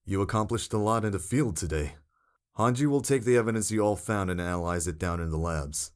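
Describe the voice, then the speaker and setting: A man's voice: on the low side, fairly quick, natural voice. A man playing a stern, formal and blunt commanding officer in an audio drama. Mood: formal, approving. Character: stern male commanding officer briefing a hero team. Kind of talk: scripted audio drama dialogue.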